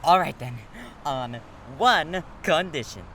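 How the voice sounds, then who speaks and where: An actor plays an introverted young man in an audio drama, wry and quietly fond. His voice is medium in pitch and moves around freely, over faint background noise. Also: lively intonation, slow, heavily acted. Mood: playful.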